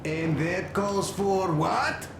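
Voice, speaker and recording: A man playing a hearty, jovial soldier in a video game, with a boisterous, drawn-out delivery. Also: sits high, creaky, roomy sound, background noise, exaggerated voice. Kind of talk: dramatic video game acting.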